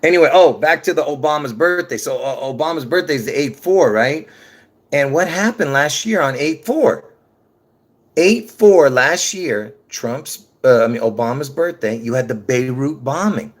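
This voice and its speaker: A man's voice: medium pitch, animated, normal speed. A man from Lebanon giving a rambling monologue to his viewers, restarting sentences often and addressing them directly. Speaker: conspiracy video creator. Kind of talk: rambling casual monologue to camera.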